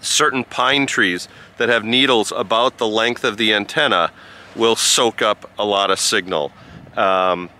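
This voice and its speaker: A man giving a casual vlog-style review outdoors in a halting, rambling way. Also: lowish voice, pushed and bright. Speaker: product reviewer and amateur radio operator. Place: outdoors by a rural road.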